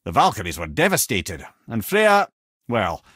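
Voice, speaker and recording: An actor playing a witty, learned old advisor in a video game, with a Scottish accent, a raspy voice and a wry, eloquent way of telling tales. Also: male voice, lowish voice, huge pitch swings, unhurried, a bit bright, no room sound, studio quality, full character voice. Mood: storytelling. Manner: wry, eloquent, colloquial raconteur. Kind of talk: video game character dialogue and storytelling.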